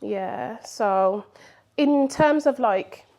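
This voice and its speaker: A woman's voice: fairly high pitch, extremely slow, a little mellow. Woman doing a radio interview, friendly and chatty, laughs while she talks. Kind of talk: casual interview chat. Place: radio studio.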